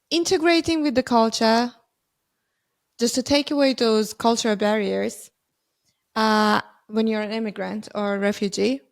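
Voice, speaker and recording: A woman host who speaks English as a second language, with Persian as her first, poses long and searching questions. Her voice sits a little above the usual pitch for a woman. She talks softly and thinks aloud about her own life as she asks. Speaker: podcast host. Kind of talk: interview questions.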